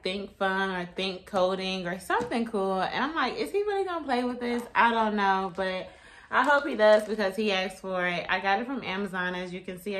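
A young woman chatting casually and informally to camera, her voice a little raspy and tired. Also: mid-range voice, a bit bright, a little hiss or hum. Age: young adult. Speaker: vlogger. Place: small room at home, indoors.

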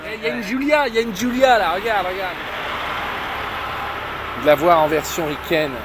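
A middle-aged man hosting a car channel, talking excitedly to a handheld camera as he walks down a city street. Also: high voice, moderate pace. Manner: excitable, exclaiming. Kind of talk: enthusiastic travel vlog to camera. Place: busy city street outdoors. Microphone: handheld camera on a gimbal. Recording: loud traffic noise.

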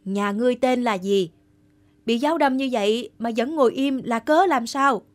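A woman's voice: fairly high pitch, close to an everyday voice. Woman as a stately, commanding older general. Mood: stern, questioning. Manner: formal, commanding, measured. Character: stately older male general, grave and authoritative. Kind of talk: animated historical folktale voicing.

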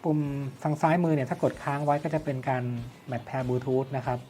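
A man's voice: medium pitch, steady tone, normal speed, warm tone, a little hiss or hum. A man presents an audio product review in an explanatory way, posing questions and answering them himself. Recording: music playing behind the voice. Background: background music.

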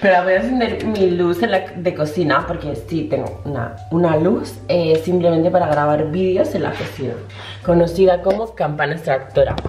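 Young woman, low voice, ironic and playful, roomy apartment, faint music behind. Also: normal speed, darkish tone. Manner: ironic, self-mocking, playful. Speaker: vlogger. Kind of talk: comedic parody vlog. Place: small apartment kitchen and bedroom. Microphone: handheld camera at arm's length.

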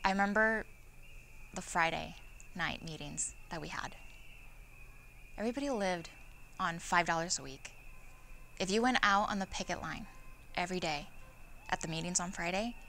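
An actress playing a woman who recalls a frightened childhood during a strike, halting and reflective. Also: fairly low pitch, vocal fry, a bit bright, slight background noise. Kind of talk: dramatized oral history monologue.

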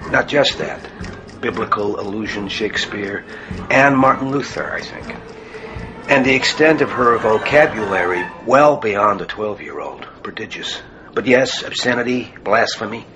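A man acting in a radio play as a sober, probing priest and psychiatrist, with a measured, questioning manner. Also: fairly low pitch, expressive, background noise, lightly acted.

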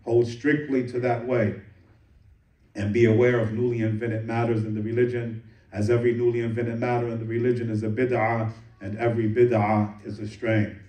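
A man giving a Friday sermon as imam, reciting Arabic verses quickly and translating them into English. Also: medium pitch, flat delivery, mellow. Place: mosque prayer hall. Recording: echoey room, voice from a distance.

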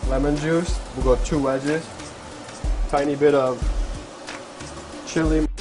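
A man's voice: a little high, slow pace, over music. A young man hosting a cooking show, talking casually step by step as he cooks in a home kitchen. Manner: relaxed step-by-step narration while cooking. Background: frying and sizzling from the pan.

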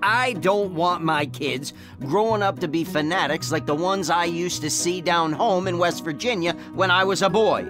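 Man acting proud, scornful family man over loud music. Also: sits high, normal speed, hardly any pauses, lightly acted. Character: proud stubborn family man, a glib salesman scorning religion. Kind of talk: radio drama acting.

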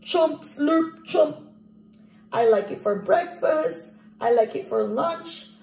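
A woman voicing an excitable, fretful crocodile from a picture book, with dramatic, drawn-out exclamations. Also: high voice, vocal fry, faint music behind, exaggerated voice. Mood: delighted. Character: excitable, fretful watermelon-loving young male crocodile. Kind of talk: picture-book character reading. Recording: echoey room recording.

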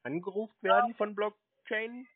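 A man's voice: high-pitched, normal speed, breathy edge, dry recording. A man on a phone call, giving short, clueless-sounding questioning replies through lo-fi telephone sound. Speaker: prankster answering scam calls. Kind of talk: phone conversation.

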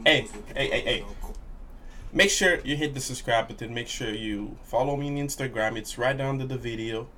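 A man in his twenties giving casual, excitable reaction commentary with slangy, playful exclamations. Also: medium pitch, normal speed, slight background noise. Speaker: reaction video host. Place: small bedroom indoors. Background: hip hop music playing from the watched video.

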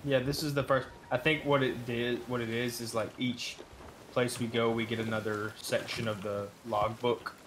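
A man's voice: medium pitch, flat delivery, normal speed, fairly bright tone, a little hiss or hum, low-quality recording. A man talking casually with a friend as they play a video game together. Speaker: gaming co-player. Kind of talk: casual gaming chat.

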